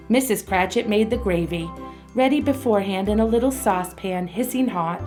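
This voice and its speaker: A middle-aged woman narrates a storybook radio play at an ordinary, mid-range pitch. She reads long lists steadily and clearly, and soft music plays faintly underneath.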